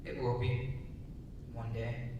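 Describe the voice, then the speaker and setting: A young man playing an earnest, nervous would-be hero in a live dramatic reading, halting as he talks himself into courage. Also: little pitch movement, muffled recording, natural voice. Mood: earnest, hesitant. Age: young adult. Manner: halting, self-coaxing. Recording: echoey hall from far off, rumble.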